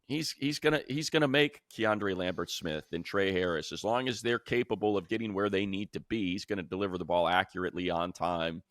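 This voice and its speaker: A man's voice: deep voice, fairly bright tone, dry recording, clean studio sound. A man co-hosting a sports show, giving unscripted analysis and repeating phrases for emphasis. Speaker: sports show co-host and commentator.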